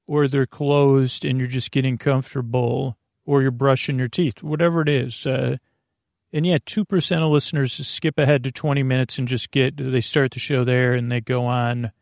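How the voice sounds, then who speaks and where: A man hosting a sleep podcast in a meandering, halting, self-deprecating monologue, often repeating himself and trailing off, with a gravelly voice. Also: mid-range voice, a little mellow, no echo, clean studio sound. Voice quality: creaky, gravelly. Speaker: podcast host.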